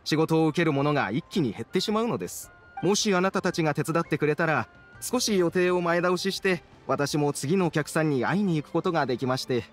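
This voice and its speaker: ゲームで、男性声優が演じる礼儀正しく真面目な若い事務員。改まった事務的な口調で話す。. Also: male voice, on the high side, animated, a little fast, soft background music, natural voice. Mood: courteous. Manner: courteous, formal, businesslike. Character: polite, earnest young male office clerk. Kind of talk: Japanese anime game voice acting.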